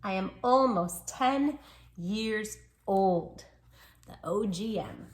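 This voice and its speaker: A woman voicing a small, proud and affectionate male dachshund from a storybook diary, timid and stubborn by turns, with playful, drawn-out phrasing. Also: mid-range voice, close to an everyday voice. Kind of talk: storybook diary read aloud.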